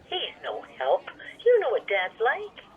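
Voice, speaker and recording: High, whiny teenage girl on phone. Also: huge pitch swings, unhurried, slightly rough, heavily acted. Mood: exasperated, whiny. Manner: whiny, complaining. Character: petulant, exasperated teenage daughter. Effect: telephone. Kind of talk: radio play acting. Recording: tinny phone line.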